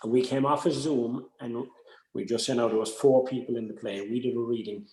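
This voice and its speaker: A man's voice: mid-range voice, fairly even intonation, moderate pace, darkish tone. An Irish man explaining his suggestions in casual chat, on roomy, echoing call audio. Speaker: workshop speaker offering suggestions.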